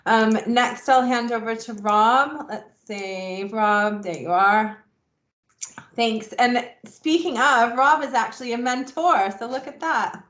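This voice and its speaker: A woman's voice: on the high side, slow pace, fairly bright tone. A woman hosting a webinar on a video call, thanking speakers and handing over with fillers. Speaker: webinar host. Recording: roomy echo.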